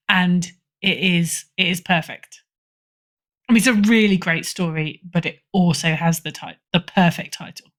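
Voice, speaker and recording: A woman's voice: lowish voice, a little slow, fairly bright tone. A woman speaking as an editor guest in a casual interview, emphatic and opinionated in a conversational way. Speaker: podcast guest, fiction editor.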